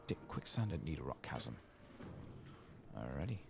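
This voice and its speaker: A man giving soft-spoken, sometimes whispered ASMR commentary on a game, muttering casually with mild swearing over audible background noise. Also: rumbling low, sing-song, moderate pace, vocal fry. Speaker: game streamer, ASMR playthrough host.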